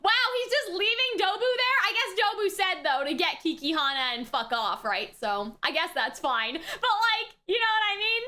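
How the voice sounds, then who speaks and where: Very high, bright-voiced woman reacting excitedly. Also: normal speed, few pauses. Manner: exclamatory and slangy, swinging between shouts and mutters. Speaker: reaction video host. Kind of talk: casual, excitable live reaction commentary.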